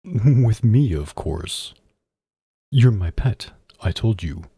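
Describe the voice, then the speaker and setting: Man playing a very husky, slow, commanding mafia boss. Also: fairly low pitch, very animated, dark tone, no room sound, clean studio sound, voice pushed up, heavily acted. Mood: tired, possessive. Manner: slow, stern, menacing and controlled, with long pauses. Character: cold, commanding, possessive male mafia boss. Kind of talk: ASMR roleplay, intimate address to the listener.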